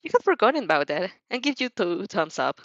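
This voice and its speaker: A woman reading dramatized fan fiction as narrator, with expressive storytelling in slightly dull audio. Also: mid-range voice, brisk, dry recording.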